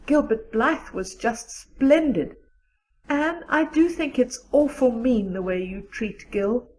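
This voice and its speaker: Woman playing a high-voiced, practical teenage girl. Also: lively intonation, takes it slowly, a little mellow, a little hiss or hum, rough recording. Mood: admiring, chatty. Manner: matter-of-fact, gossipy. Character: practical, chatty, loyal young teenage girl. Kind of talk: audio drama acting.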